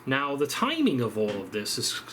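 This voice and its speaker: Halting man explaining electronics, noisy recording. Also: medium pitch, huge pitch swings, sharp tone. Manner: halting, thinking aloud. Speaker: presenter of an electronics demonstration. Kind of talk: informal unscripted technical explanation.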